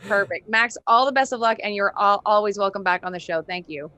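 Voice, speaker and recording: A woman's voice: medium pitch, fairly quick, sharp tone. A woman hosting a casual entertainment interview. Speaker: show host, interviewer.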